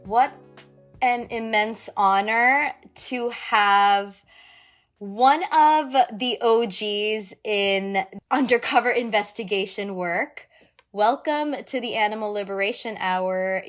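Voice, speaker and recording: A woman's voice: mid-range voice, slow, fairly bright tone, faint music behind. A woman co-hosting a podcast, introducing her guest with gushing enthusiasm and then asking questions with frequent ums. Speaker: podcast co-host and interviewer.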